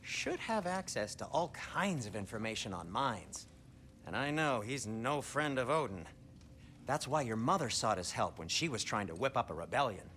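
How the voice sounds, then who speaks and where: An actor playing a fussy, eager, fastidious dwarf craftsman in a video game, chatty and theatrical in manner. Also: medium pitch, very animated, bright, forceful tone, a little hiss or hum. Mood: chatty, helpful. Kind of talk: video game character acting.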